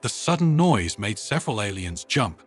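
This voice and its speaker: A man narrates a scripted story with expressive, dramatic delivery. His pitch moves around in a lively way and his voice has a slight huskiness. Faint music plays underneath. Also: moderate pace, slightly rough. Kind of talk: scripted audiobook-style narration.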